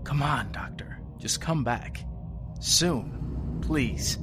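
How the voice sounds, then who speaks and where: A man playing a lonely, weary survivor broadcasting after an apocalypse in an audio drama, musing in a halting, understated, resigned way. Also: medium pitch, expressive. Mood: anxious pleading. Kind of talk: audio fiction monologue. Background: music.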